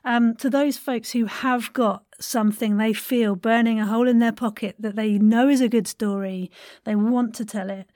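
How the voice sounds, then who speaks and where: A woman's voice: fairly high pitch, steady tone, normal speed, a little mellow, no room sound, clean studio sound. A woman hosting a casual interview, effusive and encouraging as she talks. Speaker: interviewer, podcast host.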